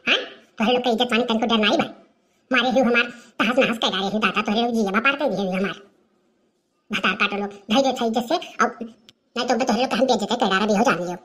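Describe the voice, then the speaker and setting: Comedy cartoon: abusive rustic orchard owner played by a woman, Awadhi accent, high voice, insult-hurling scold. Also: fast talker, a bit bright, husky. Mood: furious, scolding. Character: furious, abusive village woman guarding her lychee orchard.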